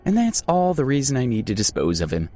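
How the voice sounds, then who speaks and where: A man's voice: mid-range voice, huge pitch swings, fast talker, mellow, voice pushed up. A man voicing a ruthless, arrogant young male heir who talks in a cold, dismissive way. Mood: cold, scornful.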